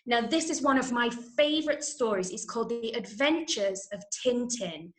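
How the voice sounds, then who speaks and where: A woman from Manchester with an English accent, teaching an online drama lesson to children in an encouraging, clearly explained way. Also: fairly high pitch, normal speed. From Manchester, England. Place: indoor room, online call. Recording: echoey room.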